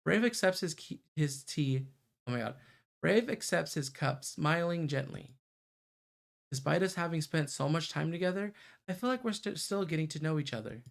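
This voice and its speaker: Creaky, higher-voiced male game streamer. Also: animated, moderate pace. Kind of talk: reading visual novel narration aloud, casual asides.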